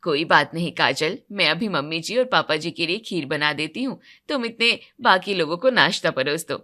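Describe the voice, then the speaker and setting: A woman's voice: low voice, animated, normal speed, pushed and bright, voice pushed down, full character voice. A woman voicing a capable, considerate elder sister-in-law who takes charge in an audio story. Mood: helpful. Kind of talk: acted dialogue in a Hindi audio story.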